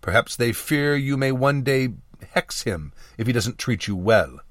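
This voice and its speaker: A man's voice: medium pitch, lively intonation, measured pace, a bit bright, dry recording, studio quality. A man voicing a scary, suspicious male pirate in a fantasy audiobook, growling yet formally courteous. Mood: countering.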